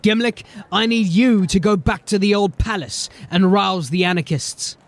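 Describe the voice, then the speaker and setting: A man's voice: high-pitched, normal speed. A man playing a grim, determined city watch officer in a fantasy audio drama, speaking in a terse, commanding way. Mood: resolute.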